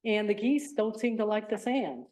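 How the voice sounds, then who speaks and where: Soft-spoken woman, chatty with lots of ums, mid-pitched, presenting to a town board. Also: moderate pace. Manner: chatty, hesitant with many ums. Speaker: pond program presenter. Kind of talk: community meeting testimony. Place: town board meeting room.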